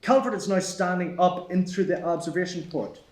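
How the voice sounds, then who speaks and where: A man's voice: high-pitched, normal speed, a little mellow. A man reading stage directions as scripted narration at a screenplay table read. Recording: echoey room.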